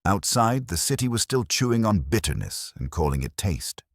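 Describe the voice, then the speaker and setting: A man reading a scripted first-person detective story in a measured, wry manner. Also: lowish voice, sing-song, dry recording, clean studio sound.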